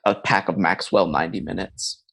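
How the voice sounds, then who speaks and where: A man's voice: deep voice, steady tone, moderate pace, vocal fry, fairly bright tone, breathy edge. A man in his thirties co-hosting a podcast, talking in a loose, rambling way with lots of "like" and restarts. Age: 30s. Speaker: podcast co-host. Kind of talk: casual chat.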